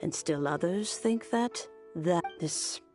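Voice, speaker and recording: A woman voicing a kindly, rambling old lady in a video game, with an aged voice and a gentle, wistful, reminiscing manner. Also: fairly low pitch, lively intonation, takes it slowly, faint music behind. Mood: grave, musing. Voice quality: creaky, aged.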